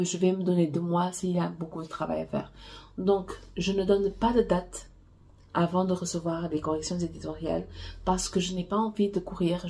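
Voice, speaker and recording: A woman's voice: lowish voice, creaky, dark tone. A woman whose first language is Portuguese, a romance author giving frank, direct writing advice in a casual way with frequent hesitations. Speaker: romance author coaching writers.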